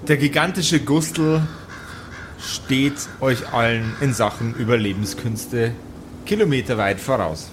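A man narrating an improvised tabletop adventure as game master, with deliberate phrasing and dramatic pauses. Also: medium pitch, slight background noise.

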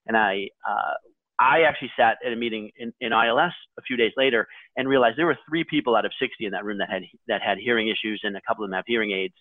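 A man's voice: fairly low pitch, fast, no echo, narrow, tinny audio. A man on a panel, explaining his thinking in a casual, conversational way. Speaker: panel guest and article writer.

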